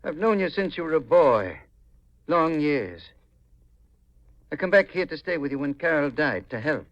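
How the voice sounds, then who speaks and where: A man's voice: a little high, very animated, moderate pace, no echo. An actor playing a stern, God-fearing older man, grave and admonishing, in the style of 1950s radio mystery. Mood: stern, accusing. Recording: muffled old radio recording.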